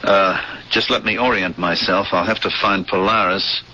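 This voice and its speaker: An actor playing a bewildered, protesting cargo-ship captain in a 1970s radio drama, flustered and argumentative. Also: male voice, steady tone, moderate pace. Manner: flustered and argumentative, pitch jumping when alarmed. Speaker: spaceship captain and narrator. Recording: muffled old radio broadcast with loud hiss.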